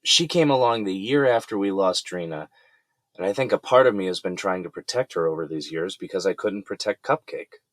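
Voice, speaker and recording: Low-voiced, weary man on trial, acted by a man. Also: lively intonation, a little fast, no echo. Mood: earnest, defensive. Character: weary middle-aged defendant confiding in his lawyer. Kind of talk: audio drama dialogue.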